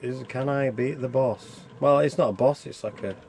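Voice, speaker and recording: A man's voice: medium pitch, moderate pace, darkish tone, a little hiss or hum. A man giving casual, chatty commentary over gameplay, thinking aloud and asking himself questions as a reviewer. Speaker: video game reviewer.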